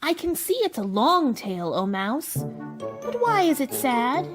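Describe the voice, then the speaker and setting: A woman's voice: high voice, very animated, measured pace. A woman playing a polite, bewildered little girl in a storybook radio play, earnest and apologetic. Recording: music behind the voice.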